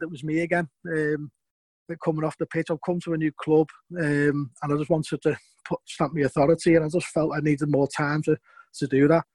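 A man's voice: a little high, darkish tone, no room sound. A middle-aged former footballer with a Scouse accent, recounting his career in a rambling way, often repeating words. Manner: rambling, repeats words, chuckles between points. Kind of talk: interview storytelling.

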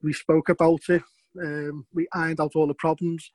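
Middle-aged Scouse ex-footballer, rambling raconteur, slightly high voice, dry close recording. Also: male voice, level tone, moderate pace, a little mellow, no echo. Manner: rambling, repeats words, chuckles between points. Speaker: former footballer. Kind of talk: interview storytelling.

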